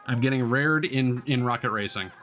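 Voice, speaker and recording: A man's voice: medium pitch, normal speed, a bit bright. A man giving live video game commentary as a streamer, sweary and grumbling as he talks. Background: racing game music and engine sounds.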